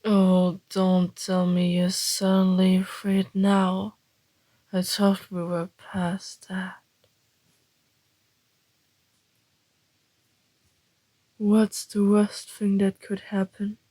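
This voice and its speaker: A woman acts out a flirtatious male vampire in an ASMR roleplay, predatory yet courteous. The voice is very slow and dark, dropping into breathy half-whispers with a teasing, deliberate delivery. It sounds close and dry. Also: medium pitch, level tone, no room sound, rough recording. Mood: amused, teasing. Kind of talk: ASMR roleplay, intimate scripted monologue.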